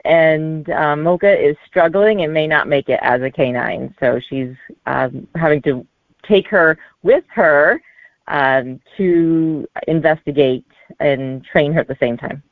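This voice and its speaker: A woman in her forties answering casually as a guest author in an interview, with a halting, hesitant delivery. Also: low voice, no echo. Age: 40s. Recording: narrow, tinny phone-like line.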